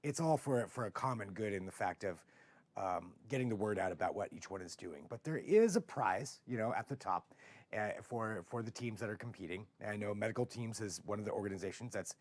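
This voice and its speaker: An American man with a rough, hoarse voice hosting a casual live interview, talking in halting, rambling phrases. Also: on the low side, animated. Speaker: TV show host.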